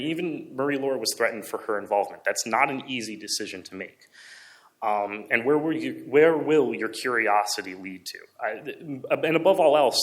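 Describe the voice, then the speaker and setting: A man's voice: lowish voice, a bit bright. A man giving a public presentation in an earnest, reflective way as a conference speaker. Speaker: conference speaker, amateur radio hobbyist.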